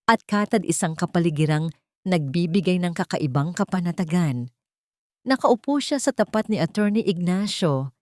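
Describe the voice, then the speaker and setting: A woman narrator with a Filipino accent delivers a scripted, dramatic story. Her voice sits a little low for a woman. The sound is dry and close, with little room around it. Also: darkish tone, no echo.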